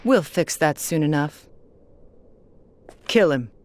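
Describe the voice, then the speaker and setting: An actress voicing a cold, menacing android villainess in an anime video game. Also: female voice, low voice, animated, takes it slowly, a little mellow. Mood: cold menace.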